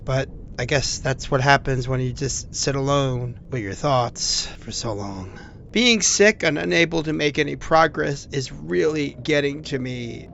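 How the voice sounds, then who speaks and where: Man acting a feverish, gravelly, rambling young man. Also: a little high, a bit bright, a little hiss or hum. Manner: halting, rambling, apologetic. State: feverish, coughing. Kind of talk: audio drama voicemail monologue.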